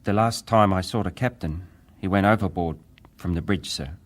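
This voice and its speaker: Low-voiced, deferential male witness played by an actor. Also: natural voice. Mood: grave, restrained. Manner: deferential, hesitant, measured answers. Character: young male ship's wireless operator survivor, deferential witness. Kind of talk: dramatized reading of hearing testimony.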